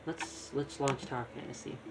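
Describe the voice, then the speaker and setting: Male game streamer, mid-pitched, casual and muttering running commentary, with loud game music underneath. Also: fairly even intonation, normal speed, poor audio. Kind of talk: casual live gameplay commentary. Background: loud video game music.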